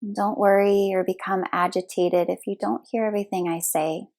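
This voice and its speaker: A woman leading a guided relaxation meditation as a yoga nidra instructor, speaking soothingly in short, unhurried phrases with long pauses. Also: mid-range voice, fairly even intonation, a little mellow.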